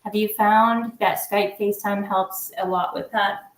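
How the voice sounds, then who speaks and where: Brief: easygoing woman, live-chat co-host, mid pitch, echoey room. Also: a little slow. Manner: relaxed, conversational, laughs easily. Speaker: livestream co-host.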